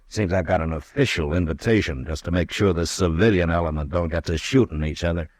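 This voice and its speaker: An actor playing a calm, firm frontier marshal in a 1950s radio drama. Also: male voice, no room sound, studio quality. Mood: steady. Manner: measured, firm, low-key.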